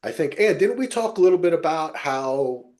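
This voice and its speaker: A man with an American accent hosting a casual TV discussion, sweary and quick to act out imagined dialogue. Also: fairly high pitch, animated, darkish tone. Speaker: podcast host. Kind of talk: casual chat about television.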